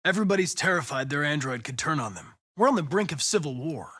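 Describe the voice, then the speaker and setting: A man with an American accent playing a polite, determined young android police investigator in a video game, speaking in a precise, formal, measured way. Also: on the high side, lively intonation, pushed and bright, slightly rough, full character voice. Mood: formal, insistent. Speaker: actor voicing a video game character.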